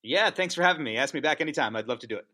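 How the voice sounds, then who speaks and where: A man talking about teaching as a podcast guest, animated and passionate, often asking "right?". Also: a little high, very fast, no room sound. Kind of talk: casual interview chat.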